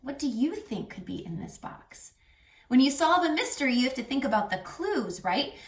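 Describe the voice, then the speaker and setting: Echoey, animated woman reading to children. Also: high-pitched, moderate pace. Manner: expressive, teacherly, explains words and asks questions. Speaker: reading teacher. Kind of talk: children's read-aloud with questions to young listeners. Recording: echoey room, distant mic, music.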